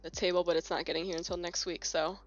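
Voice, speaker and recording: A young woman streaming from her home desk, chatting casually in a rambling, relaxed way, a bit sniffly, recorded on a phone on a holder. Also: fairly low pitch, quick pace. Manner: rambling, relaxed, many fillers and restarts. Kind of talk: casual live-stream chat while working on keyboard switches. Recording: slightly dull lo-fi phone mic with faint music. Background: faint music and keyboard clicking.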